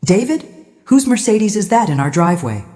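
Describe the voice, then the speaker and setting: A story narrator voicing a shrill, spiteful, snobbish daughter-in-law who accuses and dismisses people. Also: sing-song, normal speed, dark tone, long reverb tail, soft background music, natural voice. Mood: demanding. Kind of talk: audio drama-style story narration.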